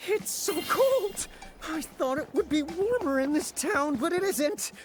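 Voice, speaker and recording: An actress voicing a small, childlike, clumsy and whiny character in a fantasy video game. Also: female voice, sits high, animated, a little slow, over music. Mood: whiny, shivering. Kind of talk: video game cutscene acting.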